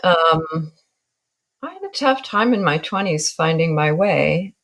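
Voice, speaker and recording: A woman's voice: low voice, lively intonation, slow pace, a bit bright. A woman in her sixties from Northern California, a guest artist in an interview, talking in a reflective, wry way and laughing at herself. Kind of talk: relaxed interview talk.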